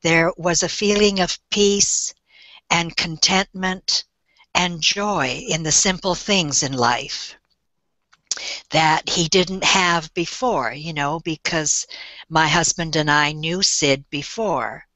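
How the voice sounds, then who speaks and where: An older woman speaks slowly and thoughtfully as a guest on a webinar, her voice bright and forward. She tells her story gently and laughs softly now and then. The sound is dry and close. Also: sits low, no room sound. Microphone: webinar call.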